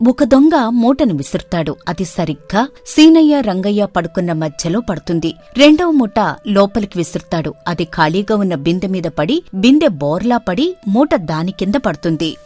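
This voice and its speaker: A woman storyteller narrating a comic bedtime tale at a steady pace. Her voice sits at an ordinary pitch, and soft music plays faintly behind her. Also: moderate pace.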